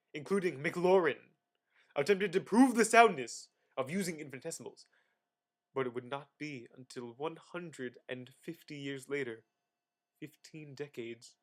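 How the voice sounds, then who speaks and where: Higher-voiced man reading with grandiose drama. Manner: mock-grandiose, with sudden emphatic swells and dramatic pauses. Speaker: amateur reader. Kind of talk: theatrical dramatic reading of encyclopedic text.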